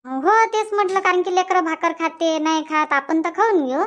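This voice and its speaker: A woman voicing a brisk, practical village sister-in-law in a cartoon comedy, giving instructions in a Varhadi Marathi accent. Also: very high voice, fairly bright tone. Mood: practical, instructing. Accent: Varhadi Marathi of Vidarbha. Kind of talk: dialect cartoon comedy dialogue.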